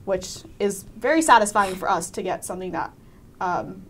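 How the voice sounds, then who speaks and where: A woman's voice: mid-range voice, animated, normal speed, fairly bright tone. A teenage woman, a student actor, answering casually and informally in a panel interview. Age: teens. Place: small indoor studio. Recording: faint background room noise.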